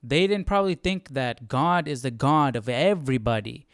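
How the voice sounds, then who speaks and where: Clear-voiced man thinking aloud, very dry sound. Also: mid-range voice, no room sound. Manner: informal, halting, thinking aloud. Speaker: host of a live Bible study. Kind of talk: casual reflective commentary while following a reading.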